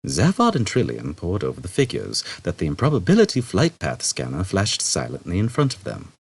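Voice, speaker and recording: Male audiobook narrator, husky, mid-pitched, dramatic scripted read, dry sound. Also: huge pitch swings, fairly quick, no room sound. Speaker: audiobook reader.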